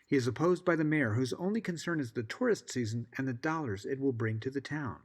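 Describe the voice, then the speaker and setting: A middle-aged American man giving a scripted podcast introduction, articulate and measured, with wry asides. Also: mid-range voice. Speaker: podcast host.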